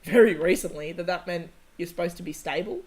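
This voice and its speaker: A woman's voice: fairly low pitch, fairly quick, heavy background noise. Playful, chatty woman podcast host. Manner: chatty, playful, swears casually. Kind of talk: casual comedic group chat.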